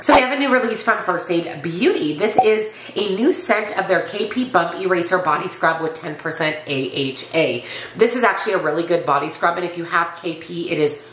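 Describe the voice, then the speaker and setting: Raspy, nasal woman with a cold. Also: on the low side, reverberant, noisy background. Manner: chatty, reads product copy aloud. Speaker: beauty YouTuber. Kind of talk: casual makeup review. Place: home beauty room with shelves, indoors.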